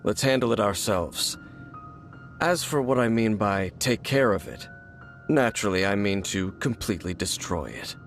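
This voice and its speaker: Grim fantasy-game warrior: low voice, solemn and formal, restrained distrust, faint music underneath. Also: male voice, animated, normal speed. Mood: stern, resolute. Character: grim, distrustful male warrior guarding against dark forces. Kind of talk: fantasy video game voice acting.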